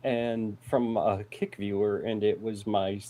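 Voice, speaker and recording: A man in casual podcast chat, talking in an animated, amused way. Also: on the low side, takes it slowly.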